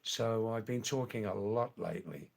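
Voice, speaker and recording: An older man hosting a live stream, casually chatting with viewers and answering their comments in a slow, rambling way that repeats words. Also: on the low side, vocal fry.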